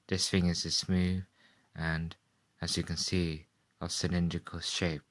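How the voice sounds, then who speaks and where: A man playing a measured, puzzled professor of science in a radio play, speaking quietly and hesitantly. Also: sits low, flat delivery, pitched below the performer's own voice. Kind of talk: old radio play dialogue.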